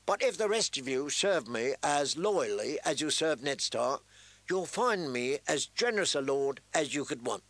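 A man's voice: fairly high pitch, lively intonation, moderate pace, fairly bright tone, dry recording. A man voicing an arrogant young prince who mocks and threatens his captives. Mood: commanding. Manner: sneering, commanding.

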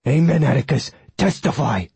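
Man voicing an excitable, goofy talking dog, higher-pitched. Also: normal speed, creaky, husky, no echo, full character voice. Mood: gleeful approval. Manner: goofy, exclamatory. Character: excitable, cheeky talking dog, devoted sidekick. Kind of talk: audiobook character voice.

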